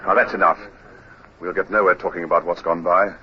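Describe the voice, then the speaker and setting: Man playing a deep, gruff senior naval officer. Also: little pitch movement, a little fast, lightly acted. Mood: curt, commanding. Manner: curt, hushing, authoritative. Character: gruff, impatient male senior naval lieutenant. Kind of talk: 1950s radio drama acting. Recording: muffled vintage radio recording, heavy hiss.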